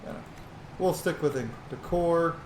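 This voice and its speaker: A man's voice: a little high, a little slow, slight background noise. Casual male gamer in an echoey room. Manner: informal, swearing, explanatory asides. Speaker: gamer commentating a playthrough. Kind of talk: casual game commentary.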